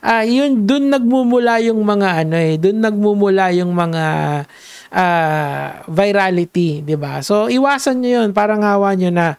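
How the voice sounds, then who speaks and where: A man with a Filipino accent talks in a casual reaction vlog. His voice sits high with a gravelly, fried edge, and the sound is close and dry. He mixes Tagalog and English and rambles like he is giving a lecture. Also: animated, normal speed, no room sound. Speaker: online commentator.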